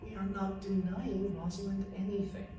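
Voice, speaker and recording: A man's voice: high-pitched, little pitch movement, normal speed, dark tone, pitched above the performer's own voice, lightly acted. A man voicing a composed, diplomatic woman who heads a collegium in a storybook reading, courteous and firm. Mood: measured, firm. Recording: echoey room, distant mic, faint noise.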